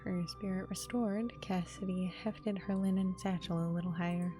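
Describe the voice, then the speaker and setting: A woman game streamer with an informal, expressive manner, commenting casually and reading a visual novel's narration aloud. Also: fairly low pitch, normal speed. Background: game music.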